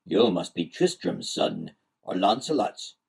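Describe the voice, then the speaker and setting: A man's voice: low voice, sing-song, unhurried, creaky, slightly rough, heavily acted. A man voicing a grim, fearful traveller giving ominous, emphatic warnings in a storybook tale. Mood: awed warning.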